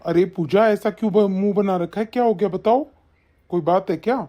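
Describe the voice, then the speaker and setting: A woman voicing a mild-mannered grown son and husband in a family skit, with a husky lowered voice. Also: normal speed, warm tone, natural voice. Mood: concerned.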